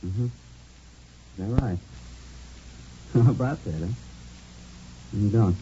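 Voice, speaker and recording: An obliging gym proprietor in an old radio crime drama. His voice is very dark and soft-edged, with casual, chatty delivery. The recording is dull, with faint noise behind it. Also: fairly low pitch, slow. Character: male gym proprietor leading ladies' exercise classes, obliging, chatty. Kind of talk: vintage radio police drama acting. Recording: muffled, noisy vintage radio recording.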